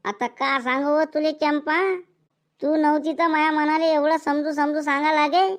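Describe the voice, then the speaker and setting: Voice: high-pitched, level tone, moderate pace, fairly bright tone. A performer voicing a scolding, sarcastic village father in a comedic cartoon, with a rural Maharashtrian Marathi accent. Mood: teasing. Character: grumbling, mocking, boastful village father. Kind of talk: comedic cartoon skit dialogue.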